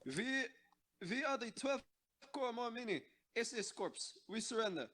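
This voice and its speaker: Male actor as tank soldier, high voice, audio drama, military, slightly noisy recording. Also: sing-song, measured pace, a bit bright, heavily acted. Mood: frantic. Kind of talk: amateur audio drama acting.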